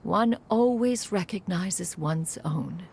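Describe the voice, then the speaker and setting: A dignified, aloof immortal crane master from a fantasy game. She talks very slowly and formally in a lowish voice with a gravelly creak, quietly caring, over clear music. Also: a little hiss or hum. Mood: fond, composed. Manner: stately, archaic, refers to herself as one. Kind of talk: video game character dialogue.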